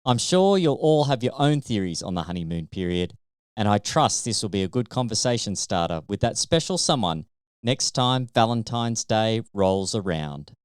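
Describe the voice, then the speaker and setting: Man narrating, with lively pitch swings. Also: normal speed, clean tone, dry recording, studio quality. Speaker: author and podcast host reading own book. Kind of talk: scripted narration of a light-hearted essay.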